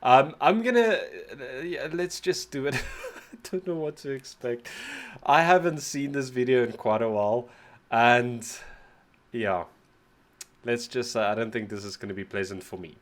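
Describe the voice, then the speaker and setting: A man's voice: mid-range voice, unhurried. A South African man whose first language is Afrikaans, slightly sick, chatting casually and rambling as the host of a music reaction channel. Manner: rambling, self-deprecating, often restarts words. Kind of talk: casual reaction video chat.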